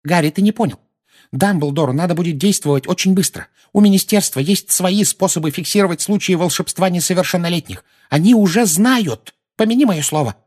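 A man's voice: high-pitched, a little fast, a little mellow, voice pushed up. A man voicing a frantic, scolding old woman in an audiobook, fretful and fierce in her exclamations. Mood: fretful, dramatic. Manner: lamenting, exclamatory, nagging.

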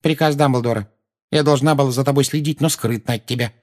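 A man's voice: medium pitch, quick pace, voice pushed up, natural voice. A man voicing a frantic, scolding old woman in an audiobook, fretful and fierce in her exclamations. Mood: confiding. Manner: lamenting, exclamatory, nagging.

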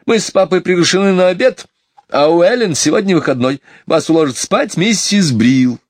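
A man's voice: sits high, expressive, moderate pace, no room sound, studio quality, pitched above the performer's own voice. A man voicing an anxious, helpless, genteel mother in a storybook, polite but agitated and pleading. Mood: flustered pleading. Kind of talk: audiobook character voice.

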